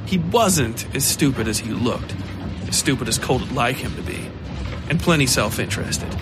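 A man giving scripted narration of a dark horror story. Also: medium pitch, lively intonation, moderate pace, very noisy.

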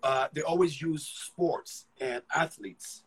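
Husky-voiced man telling his story haltingly. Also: fairly high pitch, rough recording. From Ghana. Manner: halting, restarts and repeats words while thinking aloud. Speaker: actor, interview guest. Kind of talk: casual conversational storytelling.

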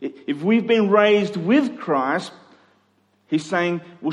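Male preacher in a reverberant hall. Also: high voice. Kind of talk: expository sermon to a congregation. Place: large reverberant room, live church service.

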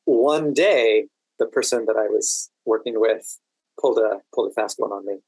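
An American man speaking as a podcast guest, telling stories in a halting, deliberate way with dry amusement. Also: lowish voice, creaky, darkish tone, husky, no echo, poor audio. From Washington DC, United States. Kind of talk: anecdotal interview conversation.

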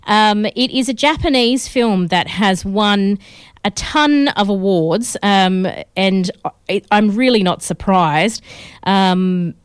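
A woman's voice: mid-range voice, takes it slowly, clean tone, no echo. An Australian woman in her thirties hosting a radio film show, chatting casually with many fillers, her voice a bit crackly. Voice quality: slightly crackly voice. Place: radio studio. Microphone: studio mic.

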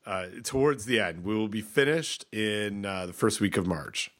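A man's voice: fairly low pitch, lively intonation, normal speed, fairly bright tone. Stuffy-nosed man rambling on a podcast. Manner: rambling, hesitant, many restarts. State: stuffed up from a cold. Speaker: podcast co-host. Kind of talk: casual chat.

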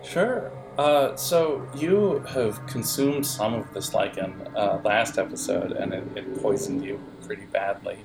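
A man with a fairly deep voice that often drops into vocal fry. He acts as game master, describing scenes off the cuff and pausing as he searches for words. Soft music plays behind him. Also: rough recording. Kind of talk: improvised tabletop game narration.

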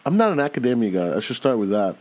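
An American man in his thirties co-hosting a casual podcast, relaxed and full of "you know" and "uh". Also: mid-range voice, fast talker. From United States. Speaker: podcast co-host.

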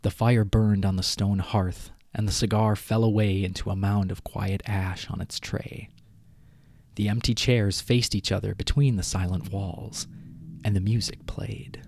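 A man narrating a scripted short story as audio drama, with deliberate, dramatic phrasing. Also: on the low side, steady tone, creaky, darkish tone. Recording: prominent music behind the narration.

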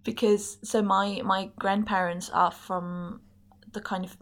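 A woman's voice: medium pitch, flat delivery, slow. A young woman from Germany, a native German speaker, talking thoughtfully and a little hesitantly as a podcast guest. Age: young adult. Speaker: podcast guest, politics master's student. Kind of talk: casual interview conversation.

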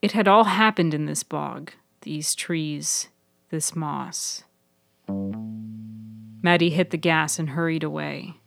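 A woman's voice: low-pitched, slow, gravelly, pauses often, over music. A woman reading scripted narration as the narrator of a fiction podcast.

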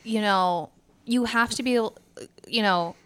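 A woman's voice: a little high, slow pace, fairly bright tone, smooth. A woman in a casual podcast chat, frank and emphatic, repeating points to drive them home. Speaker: theatre company podcast speaker, stage manager.

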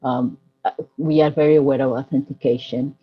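Woman, from Ecuador, second-language English, husky, restarts and repeats, muffled recording. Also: very deep voice, steady tone, brisk. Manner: searching, repeats words as she goes. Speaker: panelist. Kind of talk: panel talk. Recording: muffled lo-fi sound.

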